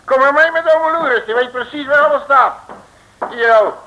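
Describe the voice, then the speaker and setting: A man's voice: very high-pitched, moderate pace, bright, forceful tone, lo-fi sound, slight background noise. A man playing a cheeky, boisterous detective with folksy slang and jovial bluster in an old comic radio serial. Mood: gleeful, mocking. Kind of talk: 1950s Dutch comic radio serial acting.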